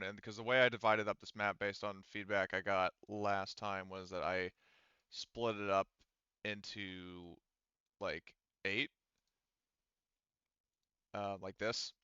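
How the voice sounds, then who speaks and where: A man's voice: fairly low pitch, sharp tone, smooth, no echo, dull audio. A man running a tabletop roleplaying game as game master, speaking casually and swearing freely. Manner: casual, profane.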